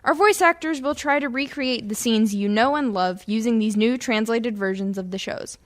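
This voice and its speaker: A woman's voice: a little high, normal speed. A woman hosting a radio comedy, reading scripted introductions. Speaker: host.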